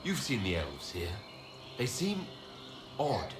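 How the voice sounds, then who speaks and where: A man's voice: mid-range voice, wildly swooping pitch, slow pace, heavy background noise. An actor playing a questioning, pragmatic fantasy hero leading his party in a video game. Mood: curious. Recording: roomy echo, music behind.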